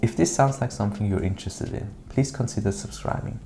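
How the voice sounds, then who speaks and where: A man's voice: lowish voice, fairly quick, gravelly, dark tone, faint music behind. A man in his thirties from Switzerland narrating his own vlog in an earnest, matter-of-fact way with dry asides. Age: 30s. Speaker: YouTuber. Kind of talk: scripted personal vlog narration.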